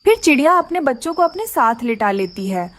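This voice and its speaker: High, lively woman storyteller. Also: brisk, dry recording. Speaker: narrator. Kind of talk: scripted storybook narration.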